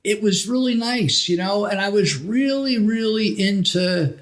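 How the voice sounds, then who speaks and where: A middle-aged man telling stories casually on a podcast, rambling and halting, often repeating phrases as he goes. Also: high-pitched. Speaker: podcast host.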